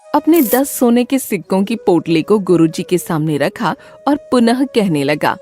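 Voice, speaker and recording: A woman's voice: mid-range voice, lively intonation, a little slow, mellow, faint music behind. A woman narrating a scripted children's moral story as the storyteller.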